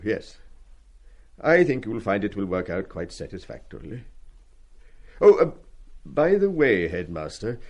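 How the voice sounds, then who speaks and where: An actor in a radio play as a stiff, wounded older schoolmaster who speaks formally and precisely with dry, dignified restraint. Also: male voice, medium pitch. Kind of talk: radio drama acting.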